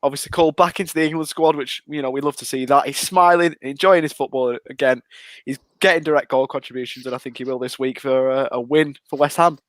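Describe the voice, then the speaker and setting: A man's voice: medium pitch, fairly even intonation, dry recording. A man co-hosting a casual fantasy football chat, upbeat and teasing with the others. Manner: upbeat, teasing, quick-talking. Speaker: co-host.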